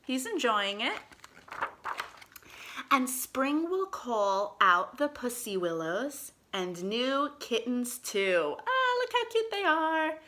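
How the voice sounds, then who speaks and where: A woman with a bright, fairly high voice hosts a storytime for young children. She reads in an expressive, sing-song way and speaks straight to her little listeners in an encouraging manner. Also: slow pace. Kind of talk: read-aloud storytime with questions to young children.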